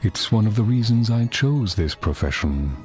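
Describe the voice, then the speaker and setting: Deep-voiced, reflective older statesman played by a man. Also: animated, moderate pace, a little mellow, lightly acted. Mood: genial, musing. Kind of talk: video game cutscene acting. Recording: music under the voice.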